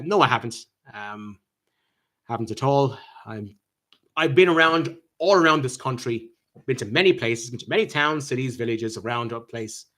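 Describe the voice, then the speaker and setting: An Irish man hosts a live chat and answers his viewers' comments one by one. He talks at a middling pitch for a man and stops often to restart his sentences with plenty of ums. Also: bright, forceful tone. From Ireland. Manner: halting, with many ums and restarts. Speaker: channel host answering viewer questions.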